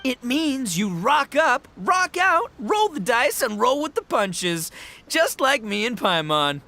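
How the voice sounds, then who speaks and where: A man's voice: very high-pitched, sing-song, slow, sharp tone, heavily acted. A man voicing a boastful, rowdy young gang boss with a cocky, slangy, theatrical delivery in a video game. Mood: cocky, hyped. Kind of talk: animated video game voice acting.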